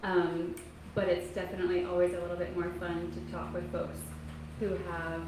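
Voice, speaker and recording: A woman from Kentucky in her thirties, an author speaking softly and haltingly to a library audience, with many pauses and ums. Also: lowish voice, completely flat delivery. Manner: soft-spoken, halting, frequent ums. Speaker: author giving a library talk. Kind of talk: casual talk to an audience. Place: public library meeting room. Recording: echoey room, faint noise.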